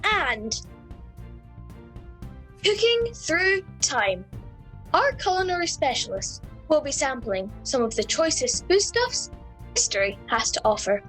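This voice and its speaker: A woman's voice: sits high, very animated, takes it slowly, pauses often, a bit bright, soft background music, exaggerated voice. A woman playing an eager, dramatic news correspondent announcing in a scripted radio comedy.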